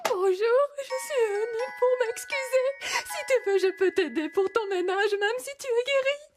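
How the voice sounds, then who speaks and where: A tiny, timid cartoon creature with an extremely high voice. It sounds meek and pleading, eager to help, over clear background music. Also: female voice, animated, normal speed. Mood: apologetic, tearful. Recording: music behind the voice.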